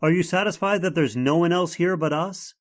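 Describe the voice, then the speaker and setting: A man playing a concerned, steady young hero in old-time radio horror, speaking in an earnest, dramatic way. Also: on the high side, a little fast, natural voice.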